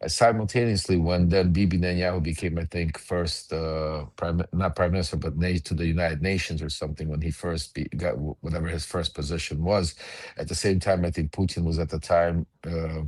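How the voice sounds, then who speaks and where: A middle-aged man from the former Soviet Union speaks in a very low voice, close and dry, with no room around it. He rambles on a video call, restarting sentences and correcting himself as he goes. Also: fairly even intonation, fairly quick, a little mellow, husky, dry recording. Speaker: panel guest. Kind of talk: casual discussion.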